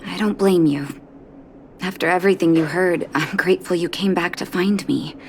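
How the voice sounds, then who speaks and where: A woman playing a principled, caring doctor in a video game, measured and serious with weary pauses. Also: on the low side, slight background noise, lightly acted. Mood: calm, grateful.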